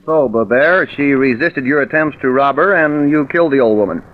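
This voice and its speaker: A man playing a shrewd, controlled prison warden with a measured, clipped manner in an old-time radio crime drama. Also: mid-range voice, natural voice. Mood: probing. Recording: muffled vintage radio recording.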